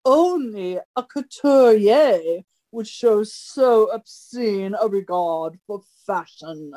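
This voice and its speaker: A woman playing a pompous great detective in an over-the-top radio mystery parody, showing off deductions in a deliberate, grand manner. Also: medium pitch, lively intonation, warm tone. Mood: smug, pompous.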